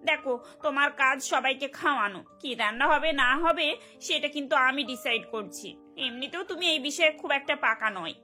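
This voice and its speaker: A woman's voice: high-pitched, pushed and bright. A woman voicing a bossy, demanding middle-aged mother-in-law in a cartoon, with a scolding, domineering manner. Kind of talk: Bengali cartoon voice acting.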